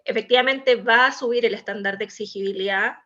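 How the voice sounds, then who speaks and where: Higher-pitched Chilean woman on a panel. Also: a little fast, bright, forceful tone, lo-fi sound. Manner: discursive, many "eh" fillers, explanatory. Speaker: in-house legal manager, panelist. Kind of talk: panel discussion.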